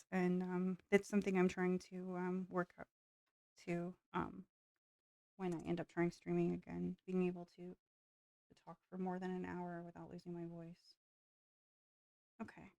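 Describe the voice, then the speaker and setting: A woman's voice: on the low side, flat delivery, unhurried, gravelly, long pauses, a little mellow, dry recording. Tired-voiced woman rambling with fillers. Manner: meandering, heavy on fillers like um and like. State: voice tiring from long talking. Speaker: miniature hobby vlogger. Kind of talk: rambling casual chat while building models.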